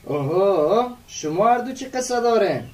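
A man playing a high-voiced, inquisitive young villager. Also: animated, recorded from a distance. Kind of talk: radio drama acting in dialogue.

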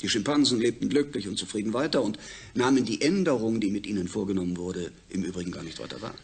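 An actor playing a suave, self-assured space project leader in a German radio drama, formal and measured with emphatic pauses. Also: male voice, fairly low pitch, creaky, a little mellow, a little hiss or hum.

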